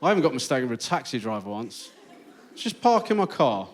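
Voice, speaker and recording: A British man doing a stand-up set in a theatre, telling stories with deadpan punchlines. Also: medium pitch, brisk. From Britain. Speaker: stand-up comedian. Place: large theatre with live audience. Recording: stage mic in theatre. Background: loud audience laughter.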